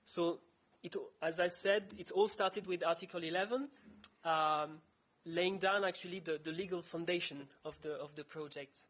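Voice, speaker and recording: A man's voice: a little high, steady tone, moderate pace, a bit bright. A man presenting a project on a conference panel, hesitant with many fillers and restarts.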